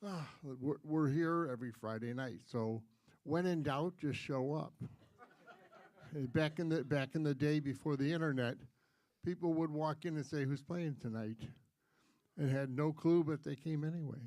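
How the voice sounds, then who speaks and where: A man's voice: on the high side, normal speed, dry recording. A man hosting a folk concert, making casual, joking announcements to a live audience in a coffee house. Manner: joking, chatty, relaxed. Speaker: concert host.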